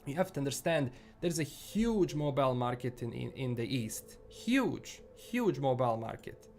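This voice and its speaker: A man's voice: mid-range voice, sing-song, normal speed, a little mellow, soft background music. A man with a Bosnian accent chatting casually on a live stream, rambling and restarting his sentences often. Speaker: livestream host.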